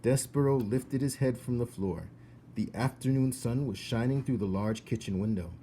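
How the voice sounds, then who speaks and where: A man reading a children's storybook aloud in an expressive narrating style. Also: medium pitch, normal speed, a little hiss or hum.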